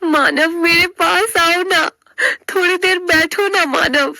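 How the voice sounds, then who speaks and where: A woman's voice: very high-pitched, fairly even intonation, slow pace, vocal fry, sharp tone, no echo, pitched above the performer's own voice, exaggerated voice. A woman playing a young, earnest daughter-in-law in a family story, pleading and emotional. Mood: tearful pleading.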